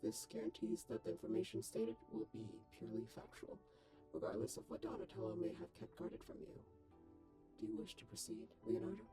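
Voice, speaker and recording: A woman's voice: a bass voice, very animated, fairly quick. A woman voicing a male artificial intelligence modelled on a scientist, dry and detached, with formal, precise, wordy phrasing in a scripted comic dub. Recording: music behind the voice.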